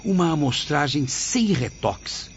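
An actor playing the sorrowful spirit of a cultured 65-year-old writer in a radio drama, speaking with solemn, formal, literary diction. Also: male voice, on the high side, sing-song, unhurried, highs cut off, slight background noise. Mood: courteous, formal. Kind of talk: dramatic radio play monologue.

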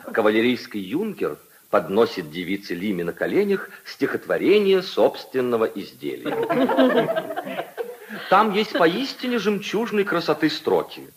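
An actor playing a witty prince in a radio play, declaiming with pompous mock solemnity and comic pauses. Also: male voice, mid-range voice, huge pitch swings, a bit bright, a little hiss or hum. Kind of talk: 1950s Soviet radio play acting.

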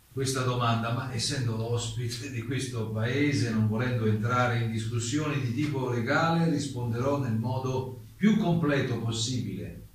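A man playing a careful, courteous playwright giving testimony, speaking deliberately and politely in a theatrical radio drama. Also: mid-range voice, lightly acted. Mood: measured, courteous. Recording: echoey room, distant mic, faint noise.

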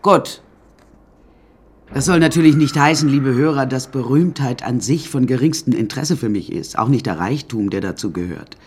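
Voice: medium pitch, moderate pace, darkish tone, husky, close to an everyday voice. An actor playing an imperious, eccentric older woman painter in a radio drama, haughty and theatrical, addressing the listeners directly. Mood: self-important.

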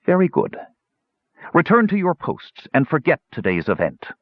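A man's voice: on the high side, huge pitch swings, moderate pace, no echo, dull audio, voice pushed up, exaggerated voice. Man as menacing dwarf sorcerer, cold clipped threats, dry close sound. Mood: cold commanding. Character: cruel hunchbacked dwarf sorcerer, male, vengeful, menacing.

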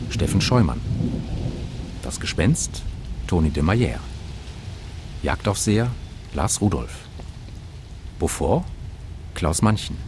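A male announcer with a very deep voice reads credits formally. His delivery is markedly slow and scripted. Rain and thunder are clearly heard behind him. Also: background noise. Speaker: radio announcer.

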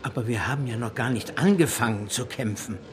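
An actor playing a defiant, fervent revolutionary leader in a German radio drama, challenging and insistent. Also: male voice, medium pitch, brisk, lightly acted. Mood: defiant, urgent.

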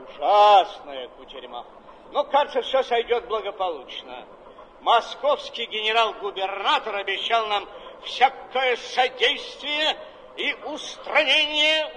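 An actor playing an aging gentleman writer who reminisces with expansive theatrical flourish, recorded in a reverberant hall. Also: sits high, lively intonation, a little slow, full character voice. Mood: animated, courtly. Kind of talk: theatrical stage acting. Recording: reverberant hall, faint background noise.

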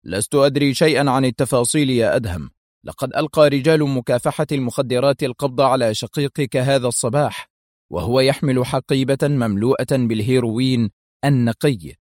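A man's voice: mid-range voice, lively intonation, fairly quick, darkish tone, dry recording, clean studio sound, natural voice. A man voicing a stern, exasperated male intelligence director who speaks with authority and warning in an audiobook thriller.

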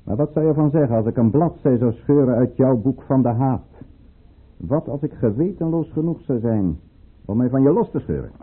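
A man's voice: mid-range voice, lively intonation, moderate pace, husky. An actor playing a calculating, commanding statesman in a radio play, speaking formally and theatrically. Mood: guarded, stern. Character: calculating, commanding statesman with secrets, in a troubled love affair. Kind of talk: Dutch radio play acting. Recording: muffled, phone-like old radio play recording.

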